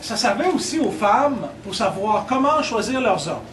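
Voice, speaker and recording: A man's voice: high-pitched, big pitch swings, moderate pace. A man from Quebec's North Shore with a Quebec French accent, giving a guided tour to visitors in a playful, teasing way. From Quebec, Côte-Nord. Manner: playful, teases the audience. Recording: distant camera audio with loud room noise.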